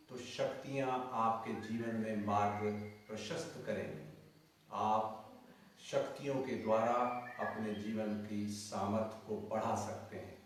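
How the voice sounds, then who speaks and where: A man teaching yoga and meditation, guiding in a commanding manner with short, repeated instructions. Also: medium pitch. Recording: very echoey, distant mic, noisy background.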